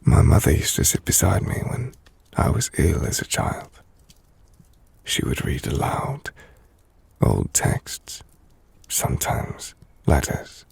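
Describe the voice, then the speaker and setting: Gentle, brooding male vampire noble, acted by a man, ASMR roleplay. Breathy, husky, unhurried and measured, close and intimate. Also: a bass voice, huge pitch swings, dry recording, full character voice. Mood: wistful, tender. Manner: slow, hushed, measured, formal. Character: brooding, gentle, melancholy male vampire-blooded castle lord. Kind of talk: ASMR audio roleplay, intimate scripted monologue.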